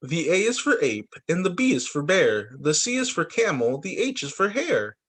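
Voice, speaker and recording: A man who is a teacher reading a children's picture book aloud in an expressive, sing-song storytelling way. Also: a little high, normal speed. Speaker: teacher reading to young students.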